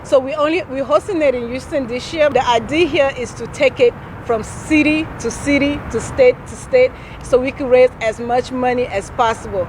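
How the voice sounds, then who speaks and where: A woman's voice: high voice, normal speed, few pauses, background noise. A woman in her thirties, born in Cameroon, founder of a nonprofit, answering interview questions earnestly with frequent restarts and short laughs. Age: 30s.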